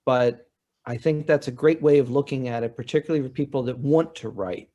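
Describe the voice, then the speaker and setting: A man's voice: medium pitch, a little fast, mellow, highs cut off. Male panelist, college writing teacher type, mid-range voice, explanatory, conversational, restates key points.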